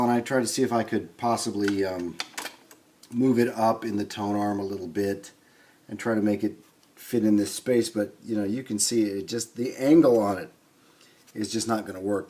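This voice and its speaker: A man hosting a hands-on repair video, explaining things informally and thinking aloud with hesitant pauses. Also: fairly low pitch, darkish tone. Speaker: host of a record player restoration video. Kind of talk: casual hands-on demonstration.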